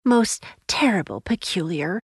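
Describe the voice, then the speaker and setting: A stout hedgehog washerwoman from a children's storybook, acted by a woman. She is polite and fussy, eager to please, and speaks in a dry, close recording with animated pitch. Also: measured pace, breathy edge, no echo. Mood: chatty, matter-of-fact.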